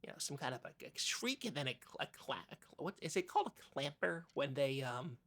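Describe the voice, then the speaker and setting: A man co-hosting an improvised comic podcast, talking in a halting, hesitant and rambling manner. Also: on the high side, very animated, fairly bright tone. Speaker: podcast co-host.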